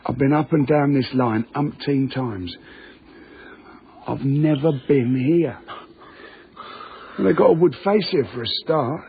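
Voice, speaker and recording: A man's voice: mid-range voice, measured pace, pauses often, natural voice. A tinny-sounding, matter-of-fact man acting in radio drama. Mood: uneasy, insistent. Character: calm, odd tunnel maintenance worker, fond of dark places. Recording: tinny, noisy radio drama broadcast copy.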